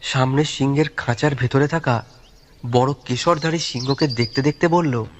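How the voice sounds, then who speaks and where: A man's voice: mid-range voice, steady tone, normal speed, a little hiss or hum. A man narrating a scripted audio story as its storyteller. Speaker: story narrator, speaking as the detective's companion.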